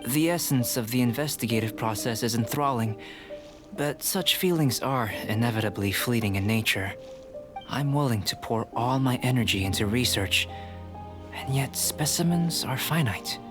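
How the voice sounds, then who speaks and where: A man playing a calm, cerebral young alchemist in a video game, speaking in a measured, articulate, scholarly way. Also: medium pitch, music in the background, lightly acted. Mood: pensive, fascinated.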